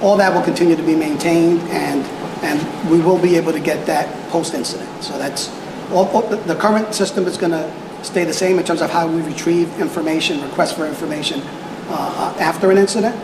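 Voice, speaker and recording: Halting male official in an echoey room. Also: a little high. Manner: hesitant, repeats words while searching for phrasing. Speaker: police technology official answering press questions. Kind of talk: press conference answers. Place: indoor press conference room. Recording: echoey room with clear background noise.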